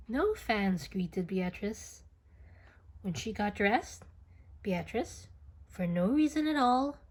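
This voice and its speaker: Female children's read-aloud narrator: unhurried, expressive, swooping pitch, dramatic touches. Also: breathy edge. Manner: expressive, dramatic, with whispers and playful sound effects. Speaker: picture book reader.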